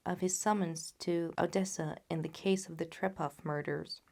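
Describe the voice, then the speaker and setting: A woman's voice: fairly low pitch, creaky, darkish tone. A woman reading classic fiction aloud as scripted audiobook narration. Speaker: audiobook reader.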